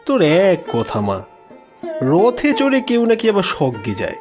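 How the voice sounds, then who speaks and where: A man performs a poor village boy of fifteen from a storybook, voice pushed high. He is insistent and doubting, clinging and full of questions in country speech, over clearly audible music. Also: wildly swooping pitch, a little slow, heavily acted. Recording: music behind the voice.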